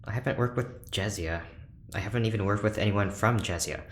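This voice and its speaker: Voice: sits low, a little fast, lightly acted. An actor playing a reserved, awkward ship's doctor in a sci-fi audio drama, speaking hesitantly and with stiff formality. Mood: cautious. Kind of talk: audio drama acting, naturalistic dialogue. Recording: roomy echo, faint background noise.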